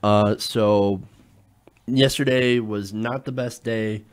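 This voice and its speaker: A man's voice: on the low side, fairly even intonation, takes it slowly, clean tone. A man hosting a casual political talk show, sounding nasal while getting over a cold, chatty and loose, with many filler sounds. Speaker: show host.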